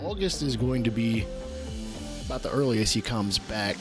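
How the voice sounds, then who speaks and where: A man's voice: mid-range voice, lively intonation, moderate pace. A man with a cold-stuffed nasal voice, casually commentating a baseball video game from a home studio mic. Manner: laid-back, chatty play-by-play with asides. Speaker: gaming channel host. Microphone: studio mic on a boom arm. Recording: game music underneath.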